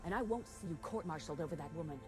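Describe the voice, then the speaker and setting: A woman's voice: low voice, animated, very fast, over music. An actress playing a hard, resolute female commander in a video game, speaking firmly and bluntly. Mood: stern, resolute. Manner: firm, blunt. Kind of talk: cinematic game acting.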